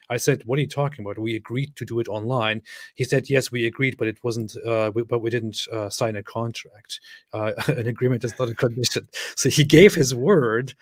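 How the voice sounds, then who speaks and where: A man's voice: mid-range voice, no room sound. A young man co-hosting a casual chat, speaking dryly and sardonically while reading out viewer messages. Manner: dry, sardonic, reads viewer messages aloud. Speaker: co-host.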